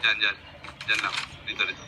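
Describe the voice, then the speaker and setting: A man's voice: on the low side, little pitch movement, brisk, noisy background. A man with a Filipino accent giving casual callouts to a teammate in a mobile game, in short, repeated warnings and directions. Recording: very loud music over the voice. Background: very loud music.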